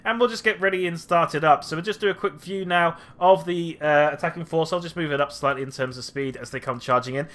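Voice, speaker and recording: A man's voice: a little high, a bit bright. A man commentating a strategy game battle replay, slightly nasal from a cold, thinking aloud with frequent fillers.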